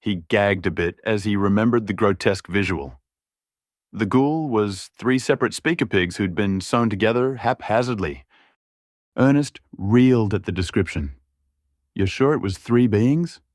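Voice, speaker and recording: A man's voice: on the low side, normal speed, no room sound, clean studio sound. A man reading scripted fantasy fiction as a storyteller. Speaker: storyteller, narrator.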